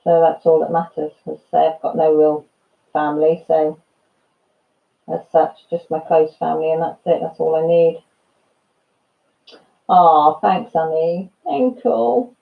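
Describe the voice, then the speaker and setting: A woman's voice: deep voice, fairly even intonation, unhurried, creaky, pauses often, narrow, tinny audio. A Welsh woman in her fifties, a channel host chatting casually with viewers, calling them by name and laughing through her stories. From Wales. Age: 50s. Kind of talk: casual live chat and storytelling.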